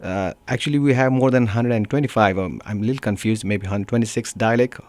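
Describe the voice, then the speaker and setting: A man from Nepal with a Nepali accent, a musician talking casually in an interview, often pausing to search for words. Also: fairly low pitch, darkish tone, no echo. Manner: halting, searching for words, frequent "you know". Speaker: musician being interviewed, guitarist. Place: radio studio.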